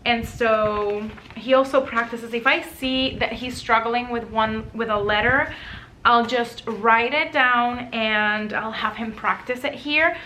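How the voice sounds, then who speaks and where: Bright-voiced Puerto Rican mom. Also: female voice, a little high, steady tone, takes it slowly, a little hiss or hum, low-quality recording. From Puerto Rico. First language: Spanish. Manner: rambling, many "um" and "like". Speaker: homeschooling mom vlogger. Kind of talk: casual show-and-tell.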